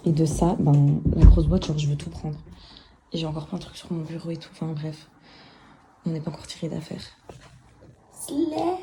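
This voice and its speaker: Low, mellow-voiced young Belgian woman. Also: a little hiss or hum. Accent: Belgian French. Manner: chatty, sprinkles in English words and youthful slang. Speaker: vlogger. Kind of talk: casual vlog with scripted voice-over narration.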